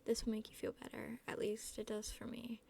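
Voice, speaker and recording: A woman's voice: fairly high pitch, monotone, fairly quick, creaky, darkish tone, slightly rough, in a whisper, full character voice. A woman voicing a laid-back teenage boy, casual and reassuring, in a story read-aloud.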